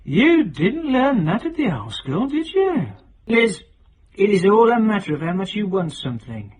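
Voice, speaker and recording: A man's voice: high-pitched, big pitch swings, normal speed, darkish tone, breathy edge, full character voice. An actor playing a cocky, hard-edged, self-made middle-aged British man in a radio drama, talking in brash, sardonic, colloquial clipped phrases. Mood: defiant, bitter.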